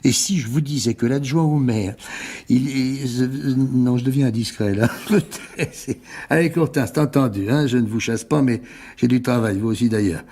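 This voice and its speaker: Effusive older businessman, slightly husky played by a man. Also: mid-range voice, normal speed, dark tone, natural voice. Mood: chummy, conspiratorial. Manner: effusive, repetitive, patronizing. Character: jovial older influential businessman, chummy and insistent host. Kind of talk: French radio mystery drama.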